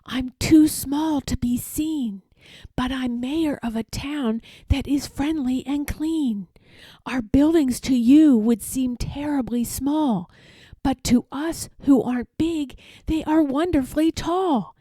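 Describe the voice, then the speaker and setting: A woman's voice: high-pitched, takes it slowly, warm tone, no echo, pitched above the performer's own voice. A woman voicing a tiny, friendly male mayor of minuscule people in a children's storybook, piping, polite and grateful. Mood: friendly, proud. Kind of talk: children's storybook read-aloud.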